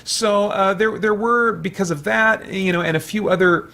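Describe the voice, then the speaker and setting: A man giving a casual, unscripted movie review, thinking out loud in a rambling, hesitant way with repeated false starts. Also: sits high, normal speed, creaky. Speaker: movie reviewer, video host.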